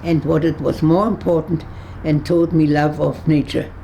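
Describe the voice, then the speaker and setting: Creaky-voiced woman over one hundred. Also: sits low, little pitch movement, warm tone, a little hiss or hum. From Berlin, Germany. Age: 100s. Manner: halting, searching for words with frequent restarts; dry humor, laughs easily. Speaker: interviewee. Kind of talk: recalling her past in an interview. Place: her home on a kibbutz.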